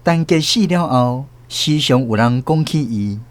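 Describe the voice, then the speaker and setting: A man from Taiwan, host and narrator of a radio drama, reading the story in a measured, expressive way. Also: mid-range voice.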